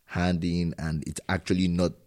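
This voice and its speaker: Low, mellow-voiced man asking earnest questions. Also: flat delivery, moderate pace. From immigrant living in Germany. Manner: reflective, earnest, personal. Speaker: host. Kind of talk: casual conversation.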